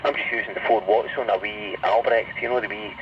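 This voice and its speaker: A man's voice: on the low side, fairly even intonation, fairly quick. A Scottish man chatting casually over CB radio in a rambling way, often adding little tag questions. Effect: CB radio transmission. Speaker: CB radio hobbyist. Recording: muffled, distant, faintly noisy CB radio.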